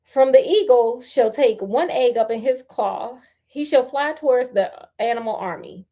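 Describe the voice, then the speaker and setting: A woman voicing a proud, confident male ostrich giving deliberate battle orders in a storybook. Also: on the high side, mellow, close to an everyday voice. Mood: commanding.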